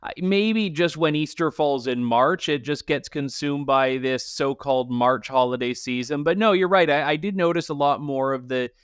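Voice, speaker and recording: A man who hosts a talk radio show, joining a casual podcast chat, speaking in an articulate, wry and measured way. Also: a little high, clear, resonant tone, no echo, clean studio sound. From Canada.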